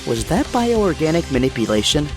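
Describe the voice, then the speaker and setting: A man's voice: fairly high pitch, very animated, moderate pace, music in the background. A man playing an earnest, brainy young inventor hero in an audio drama, with precise, technical, polite speech. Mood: intrigued.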